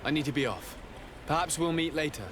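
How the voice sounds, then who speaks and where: Man acting a polite, higher-voiced noble envoy. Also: background noise, close to an everyday voice. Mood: courteous, inquiring. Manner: formal, courteous, measured. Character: polite, composed, probing young nobleman envoy. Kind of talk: video game dialogue acting.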